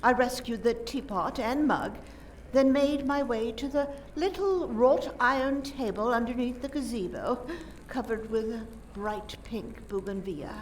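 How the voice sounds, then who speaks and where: An actress playing a clumsy, flustered, dramatic lady in a radio play, with a plaintive, halting delivery. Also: a little high, animated, slight background noise. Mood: earnest recounting. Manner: plaintive, drawn-out, halting self-corrections. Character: clumsy, flustered, dramatic young lady grieving her friend. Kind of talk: community theater radio play acting.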